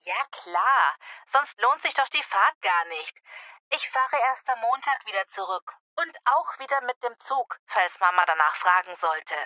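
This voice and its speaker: A woman's voice: a little high, animated, a little slow, sharp tone, dry recording, lo-fi sound. A woman playing a mildly impatient but affectionate grown daughter in an acted language-learning dialogue. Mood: cheerful.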